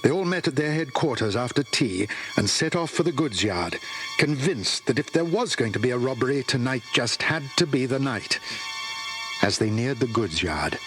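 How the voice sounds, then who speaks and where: English guy narrating kids audiobook, mid pitch, measured storyteller delivery, faint music on old cassette. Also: expressive, a bit bright. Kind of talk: scripted children's story narration.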